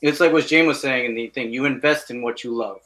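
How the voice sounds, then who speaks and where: A man's voice: medium pitch, fairly quick, fairly bright tone. A man chatting informally as an independent film guest, speaking in short bursts. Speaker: independent filmmaker, podcast guest. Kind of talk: casual chat.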